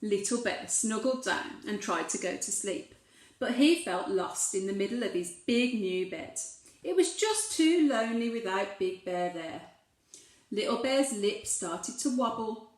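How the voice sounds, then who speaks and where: A woman reading a storybook expressively to young children, pausing to put gentle questions to the listeners. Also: medium pitch, echoey room, poor audio.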